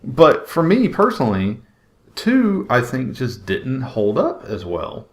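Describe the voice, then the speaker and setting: A man's voice: medium pitch, wildly swooping pitch, slow. A man co-hosting a podcast, casually discussing movies in an opinionated, conversational way. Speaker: podcast co-host. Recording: roomy, echoey sound.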